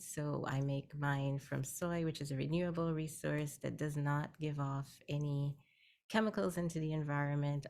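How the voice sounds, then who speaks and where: A woman from Guyana speaks as a guest on a video-call meeting, explaining her services plainly and patiently. Her voice sits very low for a woman, with a gravelly fry running through it. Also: darkish tone. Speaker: guest, health educator. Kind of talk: virtual networking meeting talk.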